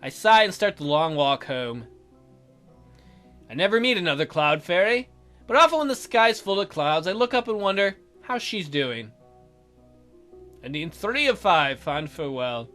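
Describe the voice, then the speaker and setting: A woman's voice: lowish voice, sing-song, moderate pace, long pauses, a bit bright. A woman hosting a let's play, reading a game's narration aloud with casual commentary. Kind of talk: animated game read-aloud with casual commentary. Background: music.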